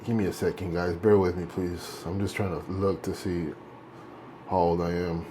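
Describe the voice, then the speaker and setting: A man's voice: low-pitched, little pitch movement, normal speed, warm tone, a little hiss or hum, rough recording. Laid-back man in his late forties, rambling. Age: 40s. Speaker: vlogger. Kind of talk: casual chat while shaving.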